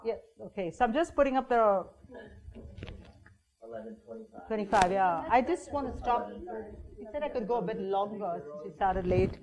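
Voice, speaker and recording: A woman's voice: mid-range voice, expressive, moderate pace, long pauses, a little mellow. A middle-aged woman from India giving an informal workshop talk, conversational, with lots of fillers and acted-out quotes. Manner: conversational, many fillers and restarts, acts out quoted voices. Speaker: workshop presenter. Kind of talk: informal presentation to an audience.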